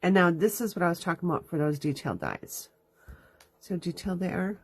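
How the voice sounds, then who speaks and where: A woman's voice: on the low side, expressive, brisk, husky. A woman hosting a live card-making demonstration from her craft room, stuffy and sniffling from allergies, chatting through each step as she works. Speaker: crafting host. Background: dog dragging its bed around.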